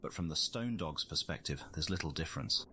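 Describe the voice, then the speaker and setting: A man playing a scientist who narrates a wildlife field study in measured, formal scripted documentary style. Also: low-pitched, animated, gravelly, a little hiss or hum, full character voice. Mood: measured, informative. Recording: loud music under the voice.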